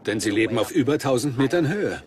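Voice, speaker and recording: A curious male investigator, played by an actor, questions an expert in documentary style. His voice is mid-pitched, with background chatter behind it. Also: expressive, a little fast, close to an everyday voice. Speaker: interviewer.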